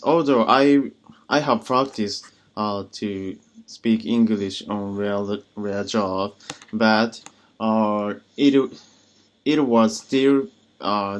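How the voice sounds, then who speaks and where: A man practicing English as a learner, with Japanese as his first language, talking hesitantly with many pauses and restarts. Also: on the low side. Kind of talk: casual conversation practice.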